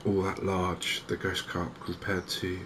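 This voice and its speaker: A softly spoken man giving hesitant, unhurried ASMR-style commentary over a video game. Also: sits low, monotone. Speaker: gaming video host. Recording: clear game ambience noise behind voice. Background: outdoor wildlife ambience from a fishing game.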